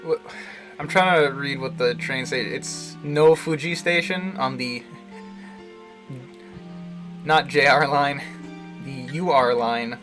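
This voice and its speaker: A man's voice: mid-range voice, slow, pauses often, fairly bright tone, poor audio. A man livestreaming casual commentary on a visual novel, thinking aloud in a rambling, jokey way. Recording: music behind the voice. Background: game music.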